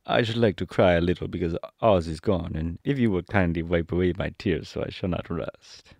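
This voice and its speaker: A man's voice: sits low, normal speed, gravelly, darkish tone, no room sound. A man voicing a polite, sentimental tin woodman in a storybook reading. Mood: mournful.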